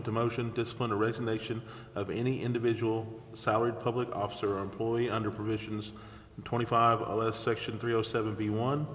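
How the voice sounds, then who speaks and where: A man's voice: lowish voice, fairly bright tone. A man chairing a council meeting, formally reading out agenda items in a procedural, official way. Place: indoor council chamber. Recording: echoey council chamber, faint noise.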